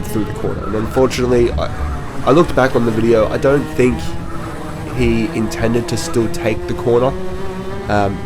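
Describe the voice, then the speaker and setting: A man with a lowish, slightly hoarse voice gives a casual, rambling voice-over on his own kart race. Music plays behind him. He drifts along with frequent ums and self-corrections. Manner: rambling, with many ums and restarts. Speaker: kart racer narrating his race footage.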